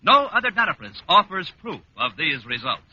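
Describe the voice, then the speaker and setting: A man's voice: high-pitched, very animated, moderate pace, muffled recording. An American man announcing a scripted mid-century radio advertisement with an emphatic sales delivery. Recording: noisy, band-limited old radio recording.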